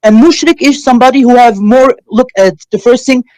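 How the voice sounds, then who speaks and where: A man's voice: extremely high pitch, brisk, darkish tone, no room sound, rough recording. A man whose first language is German, speaking English hesitantly and defending himself in a heated debate. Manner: defensive, hesitant, searching for words. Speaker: debate caller.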